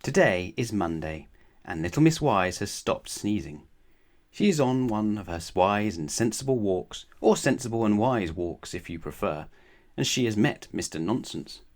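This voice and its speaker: Man, lowish voice, children's story narrator, measured, expressive phrasing. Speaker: storyteller reading a children's book aloud.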